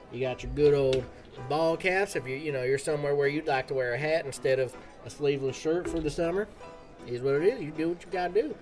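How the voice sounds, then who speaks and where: A man chatting casually as a live game streamer, in a folksy put-on American cowboy accent full of slang. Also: a little high. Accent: put-on American cowboy drawl.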